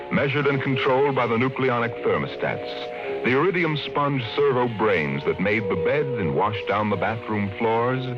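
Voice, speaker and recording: A man narrating a 1950s radio drama in a measured, dramatic way. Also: fairly low pitch. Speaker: announcer and narrator. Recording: dull 1950s radio recording over loud music.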